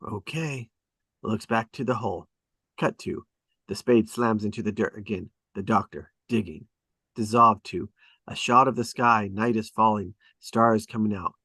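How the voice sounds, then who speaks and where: A man with a fairly low voice narrates screenplay directions in short, clipped, dramatic phrases. The sound is dry, with little room around it. He reads as a storyteller setting out each piece of action. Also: fairly bright tone, husky, dry recording. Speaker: script narrator at a table read. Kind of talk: scripted narration, reading stage directions.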